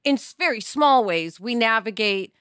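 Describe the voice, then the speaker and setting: A woman co-hosting a podcast in a casual, chatty style, informal and expressive, often checking in with her listener. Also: a little high, moderate pace, clear, resonant tone, dry recording, studio quality. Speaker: podcast co-host.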